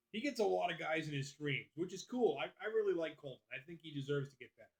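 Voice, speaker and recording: A man hosts a casual live stream, answering his viewers one by one in a loose, profane way. His voice sits a bit high for a man. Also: animated, fairly quick, gravelly, poor audio. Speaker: livestream host.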